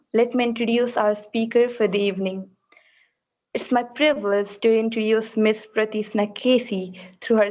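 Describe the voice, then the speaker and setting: A woman's voice: medium pitch, darkish tone, dull audio. A woman hosting an online talk who reads a formal, scripted introduction of the speaker. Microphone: computer mic on a video call.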